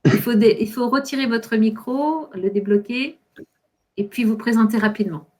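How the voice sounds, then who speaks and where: A woman's voice: on the high side, moderate pace, darkish tone. A woman moderating an online discussion workshop, politely inviting participants to speak. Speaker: workshop host.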